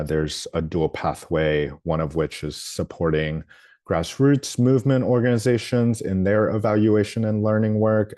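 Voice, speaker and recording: A man's voice: very deep voice, darkish tone, dry recording, studio quality. A man from New Orleans leading a training workshop over a video call, talking his way through with frequent ums and restarts. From New Orleans, United States. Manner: hesitant, lots of ums and restarts. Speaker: workshop presenter. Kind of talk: live training presentation.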